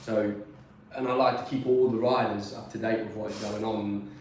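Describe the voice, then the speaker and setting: A man's voice: lowish voice, flat delivery, moderate pace, darkish tone, far from the mic, slight background noise. A man from Peterborough, originally from South Wales, talking through the track as a racer and guest commentator in a rambling, upbeat way. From born in South Wales, raised in Peterborough. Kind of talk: casual racing commentary. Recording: echoey room, voice off-mic.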